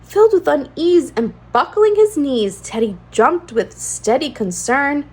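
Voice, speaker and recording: A woman reading a children's storybook aloud in an animated style, with a singsong cadence and expressive emphasis. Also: high-pitched, measured pace. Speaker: children's read-aloud channel host.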